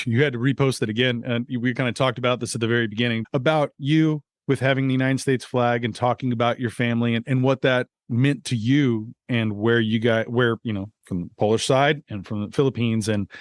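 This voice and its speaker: A man's voice: mid-range voice, no echo. A man with a mid-range voice hosts a casual podcast interview. He speaks earnestly, circling back and searching for words. The sound is dry and close. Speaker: podcast host.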